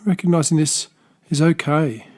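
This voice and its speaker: A man with an Australian accent gently guiding a body scan meditation, speaking softly and slowly with pauses and small restarts. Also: a little high. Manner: slow, soft-spoken, gentle guidance with pauses. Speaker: meditation teacher.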